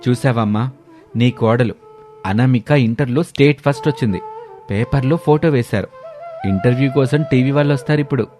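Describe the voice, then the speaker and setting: Gentle young husband, acted by a man. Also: mid-range voice, a little fast, soft background music, close to an everyday voice. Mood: proud. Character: young husband, gentle, reassuring, scheming kindly. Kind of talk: dramatized moral story for a cartoon.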